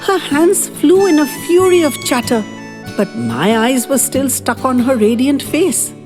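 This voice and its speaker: A woman reading a first-person love story aloud as a podcast narrator, in an expressive, dramatic way. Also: high voice, unhurried, music in the background. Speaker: audiobook narrator. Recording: long reverberant hall.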